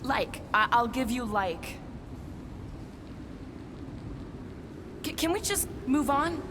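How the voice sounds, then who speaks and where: A woman's voice: sits high, expressive, measured pace, background noise. A woman playing a deadly, guarded alien assassin in a video game, intense and bitter, with a terse, dryly sarcastic delivery. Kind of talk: dramatic video game acting. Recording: music behind the voice.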